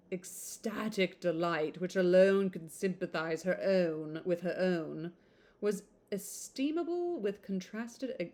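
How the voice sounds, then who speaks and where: A woman reading a classic novel aloud in an informal, expressive way, stumbling over some words and adding chatty asides. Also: lowish voice.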